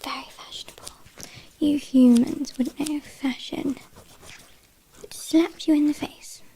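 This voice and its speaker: A British woman playing a haughty, condescending vampire kidnapper in a breathy, whispered ASMR roleplay, with a dryly teasing manner. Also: high voice, fairly even intonation, warm tone, slight background noise, rough recording. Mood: haughty, amused. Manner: slow, disdainful, dryly teasing.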